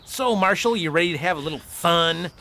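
A man's voice: a little high, animated, fairly quick, heavy background noise. A man playing a cocky, bossy outlaw gang leader in an audio western, with folksy, taunting delivery. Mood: gloating. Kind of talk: amateur radio western acting.

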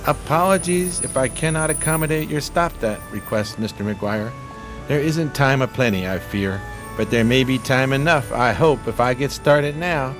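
A man's voice: mid-range voice, moderate pace, a bit bright, faint music behind, close to an everyday voice. Man acting a grandiloquent, melancholy down-and-out drifter. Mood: stirred, resolute. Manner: florid, grandiloquent, theatrical phrasing. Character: eloquent, melancholy homeless male drifter in 1920s New York. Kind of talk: radio theater acting.

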